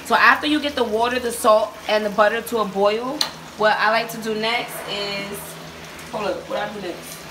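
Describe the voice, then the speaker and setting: A young woman filming a cooking video on her phone in her home kitchen, chatting to viewers in a relaxed, slangy way. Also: mid-range voice, moderate pace. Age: young adult. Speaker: cooking vlogger. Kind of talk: casual cooking demo. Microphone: handheld phone camera. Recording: sizzling pan noise behind. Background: oil frying and sizzling.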